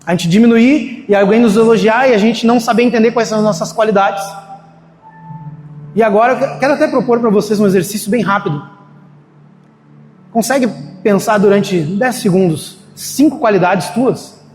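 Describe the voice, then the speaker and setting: A man's voice: high voice, normal speed, a little mellow, faint music behind. A man preaching a sermon in a church in a casual, storytelling way, acting out the voices of people he quotes. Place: church hall. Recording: echoey hall, faint ambience.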